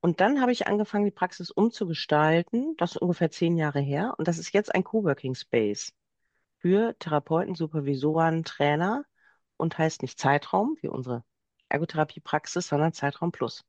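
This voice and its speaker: A woman's voice: low voice, animated, normal speed, darkish tone, no echo, lo-fi sound. A woman in her fifties from Germany, a guest on a podcast, telling at length about her work as a therapist and practice owner. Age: 50s. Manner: chatty, explains at length. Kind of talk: podcast interview.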